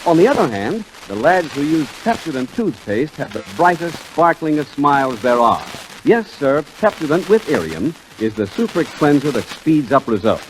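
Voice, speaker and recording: A man's voice: fairly high pitch, very animated, normal speed, hardly any pauses, poor audio. A man announcing a commercial in 1940s radio style, upbeat and emphatic, on an old hissy recording.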